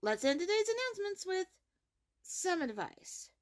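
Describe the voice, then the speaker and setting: A very high female voice with lively swings in pitch, a woman acting as a caring, orderly high school principal. She delivers measured morning announcements for a fantasy web series and speaks straight to her students. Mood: earnest, cautionary.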